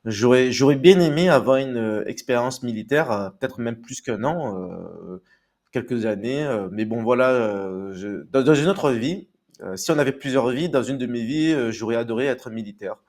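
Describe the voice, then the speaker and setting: A man's voice: mid-range voice, normal speed, darkish tone. A man from Marseille, answering as an interview guest in a hesitant, polite, explanatory way. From Marseille, France. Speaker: podcast guest. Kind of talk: casual autobiographical interview answers.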